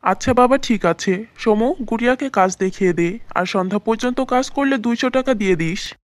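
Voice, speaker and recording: A kindly uncle-like boss man in a cartoon fairy tale , put on by a woman. The voice drops well below the narration and talks gently and fatherly, dry and close. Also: fairly high pitch, fairly quick, no echo, voice pushed down, natural voice. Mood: agreeable. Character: kindly male labour boss, middle-aged uncle.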